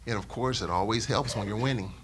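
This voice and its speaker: A man's voice: on the low side, quick pace, fairly bright tone, a little hiss or hum. A middle-aged man, a radio sportscaster speaking off the cuff on a panel, emphatically and with repeated phrases for emphasis. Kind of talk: unscripted panel talk.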